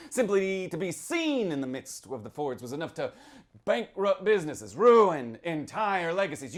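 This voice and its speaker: A man playing a folksy American small-town storyteller, telling a dark witch legend in a theatrical, conspiratorial way with questioning tags. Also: a little high, lightly acted. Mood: gossipy, ominous. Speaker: author reading from a novel. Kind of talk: theatrical in-character reading of horror fiction.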